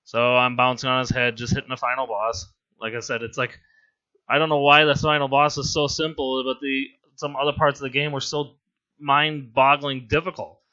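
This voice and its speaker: Hoarse male game commentator, casual and amused, bright-edged voice, muffled lo-fi recording. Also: medium pitch, flat delivery, normal speed. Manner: rambling, amused and self-deprecating. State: hoarse from laryngitis. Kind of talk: casual gameplay commentary voice-over.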